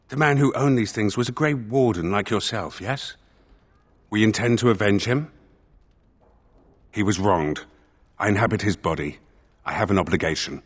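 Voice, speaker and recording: Hollow, echoing, solemn spirit. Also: male voice, lowish voice, fairly bright tone, husky. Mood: grave resolve. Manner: formal, measured, contemplative. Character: solemn male spirit inhabiting a dead man's body. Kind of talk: video game character acting. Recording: long reverb on the voice.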